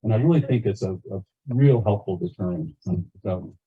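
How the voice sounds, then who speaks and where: A man at a meeting casually recommending something in a halting way, on slightly dull audio. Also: on the low side, lively intonation, husky. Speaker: meeting participant.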